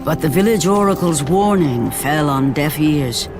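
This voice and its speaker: A man's voice: fairly high pitch, expressive, measured pace, music in the background. A man narrating a video game cutscene in a solemn, grave, scripted storytelling tone. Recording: game cutscene with very loud score.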